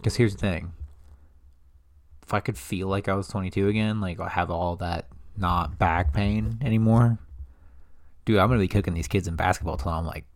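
A young man co-hosting a podcast, chatting casually and telling lively, profane stories. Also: low voice, moderate pace, long pauses. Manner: animated storyteller, swears freely. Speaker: podcast co-host. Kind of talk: casual chat.

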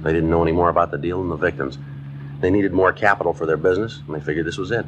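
Very deep, deadpan actor as police detective. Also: male voice, rapid-fire, dull audio, background noise, close to an everyday voice. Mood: businesslike. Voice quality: flat, terse delivery. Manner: deadpan, businesslike. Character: terse, matter-of-fact male police detective sergeant. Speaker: narrator and lead actor of a police series. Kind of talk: 1950s radio drama acting, scripted narration. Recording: 1950s radio recording with music.

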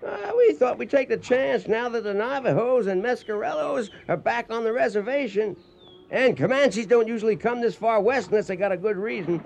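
An actor playing a folksy, excitable cowboy in a radio western, with a crackly voice and an American Western drawl. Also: male voice, very high voice, moderate pace, gravelly, heavily acted. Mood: eager. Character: folksy, excitable cowboy spinning trail yarns. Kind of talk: radio western acting and narration.

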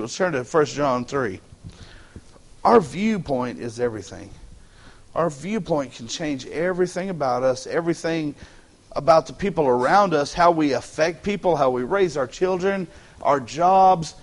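A Texan pastor preaching to his church in a relaxed, joking way. He talks with a slightly high voice, loose and folksy, often restarting phrases as he goes. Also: measured pace. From Texas. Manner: joking, folksy, repeats words as he starts phrases. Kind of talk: casual humorous sermon. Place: church sanctuary.

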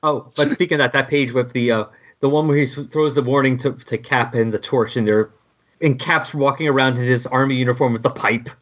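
Man, podcast co-host, husky slightly high voice, muffled lo-fi sound, wry chat. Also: fairly even intonation, brisk, fairly bright tone. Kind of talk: casual chat about comics.

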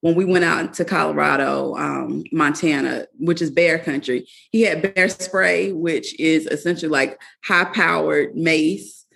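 A woman's voice: sits low, a little slow, gravelly, few pauses, fairly bright tone, breathy edge. A woman guest talking casually on a video call, chatty and laughing often with many fillers. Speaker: guest, camper.

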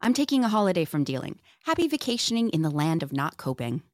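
A woman reads as a dejected young slayer in a TV script table read. Her voice sits a little low and swings in pitch, while the delivery stays dry, weary and deadpan. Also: fast talker, no room sound. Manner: deadpan, downbeat.

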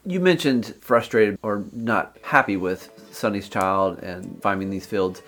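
Low-voiced male interviewer. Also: measured pace, a bit bright, faint music behind. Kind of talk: interview questions.

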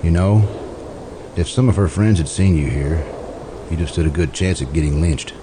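Man playing a gruff, low-voiced lawman. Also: normal speed, background noise, natural voice. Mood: stern, reproachful. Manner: gruff, skeptical, scolding. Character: stern, impatient lawman escorting a murderer. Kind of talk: old-time radio suspense acting.

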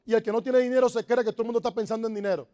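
A man with a strikingly high voice rattles through his motivational speech at a fast clip. He drives each point home by repeating it, pressing the crowd in an emphatic, preacher-like way. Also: fairly even intonation, dark tone. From Dominican Republic. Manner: emphatic, repetitive, preaching-like exhortation. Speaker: network marketing motivational speaker. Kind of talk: motivational talk to a live audience.

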